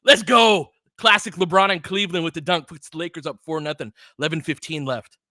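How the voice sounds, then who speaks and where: A man's voice: on the high side, fairly even intonation, brisk, bright, forceful tone, dry recording, clean studio sound. A man hosting a basketball stream, giving casual play-by-play mixed with chat in a conversational, slangy way with clipped phrases.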